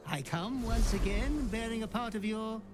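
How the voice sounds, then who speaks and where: A man's voice: sits high, normal speed, close to an everyday voice. A man playing a sinister, devoted little fiend butler in a video game, obsequious, florid and theatrical. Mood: oily reverence. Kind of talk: video game character acting. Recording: game audio with music underneath.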